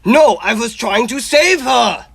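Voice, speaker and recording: High-pitched, urgent vampire hunter played by a man. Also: animated, measured pace, creaky, fairly bright tone, breathy edge, heavily acted. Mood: desperate. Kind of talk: radio drama acting.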